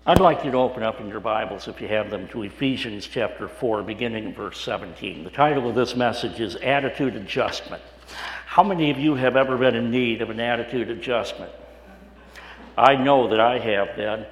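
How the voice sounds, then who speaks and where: A middle-aged male pastor with a hoarse, raspy voice, preaching a sermon in a conversational way with frequent restarts. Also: medium pitch, fairly quick. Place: church sanctuary. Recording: echoey hall with long reverb.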